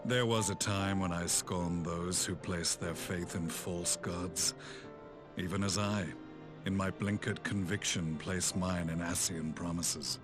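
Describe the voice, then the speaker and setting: A grave, proud old soldier in a game cutscene. His voice is deep and his delivery solemn and formal, over prominent music. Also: fairly even intonation, slow pace. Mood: solemn, remorseful. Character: grave, proud, repentant middle-aged male former imperial commander. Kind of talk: video game cutscene acting.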